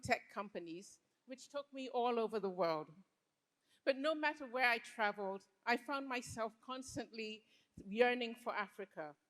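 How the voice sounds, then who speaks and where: Woman from Liberia, reflective storyteller, fairly high voice, reverberant hall. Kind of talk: live stage storytelling. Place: stage before a live audience. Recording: long hall reverb on stage.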